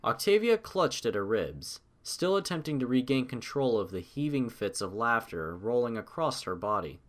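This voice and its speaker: Animated story narrator with lively intonation. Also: medium pitch, normal speed.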